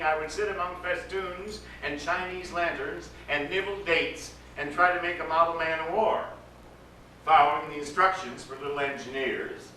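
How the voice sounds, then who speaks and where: Male storyteller, theatrical and expressive, bright forceful voice, very echoey room, distant mic. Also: on the high side. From United States. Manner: theatrical, expressive, lingering over words. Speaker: actor reading a story aloud. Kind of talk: dramatic live story reading. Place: event at a ranch, roomy space. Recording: echoey distant live recording with background noise.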